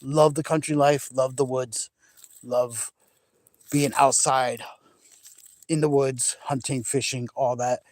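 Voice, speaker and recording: A man's voice: mid-range voice, fairly even intonation, slow pace, long pauses, no room sound. Chatty man with faint Maine accent. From Maine, New England. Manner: chatty, rambling, laughs easily, frequent restarts. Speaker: vlogger. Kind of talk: casual live chat with viewers. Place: outdoors by a large lake at sunset. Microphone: phone camera held by hand.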